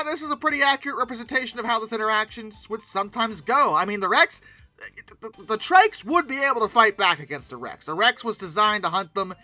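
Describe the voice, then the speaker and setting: A host giving casual, humorous reaction commentary in an animated, theatrically incredulous way, prone to exclaiming. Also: medium pitch, no echo. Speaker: video host commenting on old dinosaur art.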